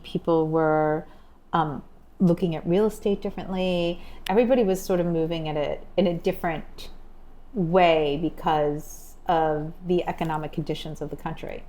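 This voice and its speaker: A woman's voice: on the low side, fairly even intonation, moderate pace, mellow. A woman hosting an interview podcast, asking questions and prompting her guest with frequent short affirmations. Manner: engaged, prompting, frequent backchannel. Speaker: podcast host. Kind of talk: conversational interview.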